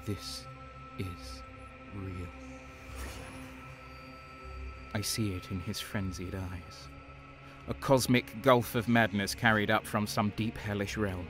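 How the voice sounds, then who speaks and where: Low-voiced haunted soldier played by a man, intensely dramatic. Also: steady tone, measured pace, loud music behind the voice, natural voice. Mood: awed dread. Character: haunted, horror-struck traitor soldier narrating his own nightmare. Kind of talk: dramatic first-person audio drama narration.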